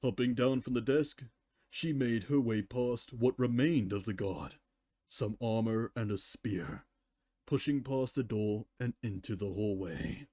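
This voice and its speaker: A man's voice: mid-range voice, measured pace, warm tone, no room sound. A man giving a live dramatic reading of fan fiction as narrator. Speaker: story reader.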